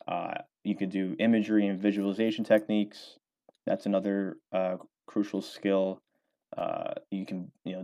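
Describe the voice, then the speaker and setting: A man's voice: low-pitched, darkish tone. A young man in his twenties answering interview questions casually, rambling with many fillers and restarts. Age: 20s. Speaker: author and former college baseball player.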